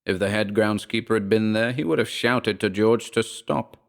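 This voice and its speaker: A man narrating a scripted horror story in a measured, dramatic storytelling manner. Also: fairly low pitch, creaky.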